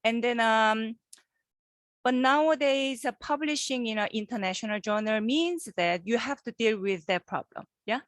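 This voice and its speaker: A woman professor giving a guest lecture over a video call, halting and repeating words, often checking in with her listeners. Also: a little high, no room sound, studio quality. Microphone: computer microphone on a video call.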